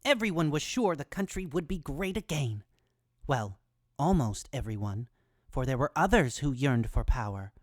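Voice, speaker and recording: A woman's voice: extremely low pitch, big pitch swings, normal speed, no echo, clean studio sound. A woman narrating a rhyming storybook tale in a theatrical manner.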